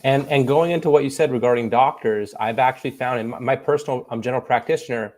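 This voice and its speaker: A man in his thirties talks quickly and earnestly in a relaxed panel discussion. His pitch sits in a middle range, and he slips into other voices when he quotes people. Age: 30s. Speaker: podcast guest. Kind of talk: casual panel chat.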